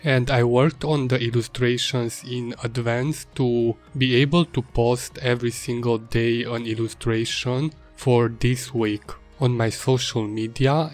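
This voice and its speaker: A man whose first language is Hungarian, calmly narrating his illustration process as a voice-over. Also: mid-range voice. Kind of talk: voice-over narration of a drawing video. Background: faint music.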